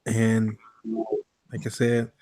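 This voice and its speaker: A man hosting a casual boxing podcast, laid-back and tipsy, talking in a slow, mellow way. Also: lowish voice, completely flat delivery, dry recording. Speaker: podcast host. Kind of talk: casual chat.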